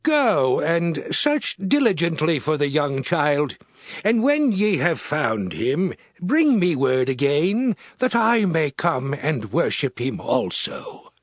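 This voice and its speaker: A man's voice: on the high side, very animated, no room sound, exaggerated voice. A man playing a scheming king who feigns piety, speaking theatrically in a scripture drama. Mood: slyly pious. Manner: slow, theatrical, deliberate.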